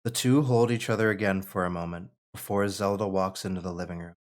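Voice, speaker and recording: A man's voice: low voice, fairly even intonation, brisk. A man reading out the stage directions and narration at a screenplay table read.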